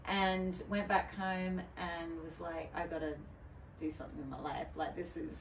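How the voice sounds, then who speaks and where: A woman's voice: on the low side, moderate pace, gravelly. A woman, a DJ guest on a podcast, talking candidly and chattily with lots of fillers and restarts. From United Kingdom. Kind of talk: casual podcast interview. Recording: roomy, distant mic with faint noise.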